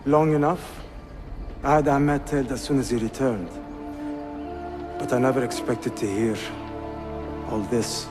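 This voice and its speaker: An actor playing a cool, judicious young Ottoman nobleman, measured and matter-of-fact in a game cutscene. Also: medium pitch, steady tone, natural voice. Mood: composed. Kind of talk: dramatic game cutscene acting. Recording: music behind.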